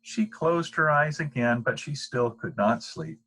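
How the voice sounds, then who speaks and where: Older male storybook reader: slightly rough husky tone, leisurely pace, expressive and deliberate delivery for children. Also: mid-range voice. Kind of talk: children's bedtime story narration.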